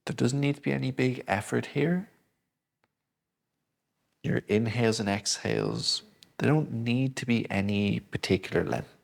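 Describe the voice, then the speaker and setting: A man gently guiding a breathing exercise, speaking slowly and soothingly. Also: mid-range voice, animated, creaky. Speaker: breathing instructor. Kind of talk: guided breathing lesson.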